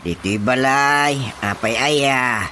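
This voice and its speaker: A man playing an excitable older father in a radio drama comedy, exclaiming and muttering, easily astonished and indignant. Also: mid-range voice, takes it slowly, a little hiss or hum. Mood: muttering, puzzled. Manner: exclamatory, full of muttered interjections.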